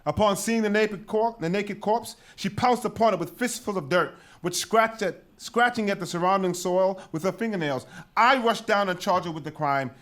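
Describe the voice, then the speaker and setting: Nervous guard, high male voice, urgent, emphatic report, staged Greek tragedy. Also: moderate pace, few pauses, a bit bright. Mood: urgent recounting. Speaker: actor. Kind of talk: dramatic reading of Greek tragedy.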